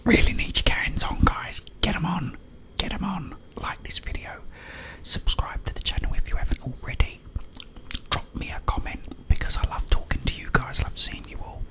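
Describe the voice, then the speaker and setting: A man's voice: sits high, expressive, moderate pace, low-quality recording. A British man whispering an informal, rambling ASMR monologue, playfully repeating words as he goes. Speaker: ASMR content creator.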